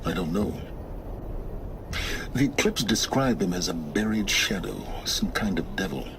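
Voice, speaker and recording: A very low-voiced middle-aged man, a secretive lone wanderer from a video game. He speaks with measured, impatient condescension, as if every explanation is a favour. Noticeable ambient noise sits behind him. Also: lively intonation. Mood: guarded, explanatory. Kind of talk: video game cutscene dialogue.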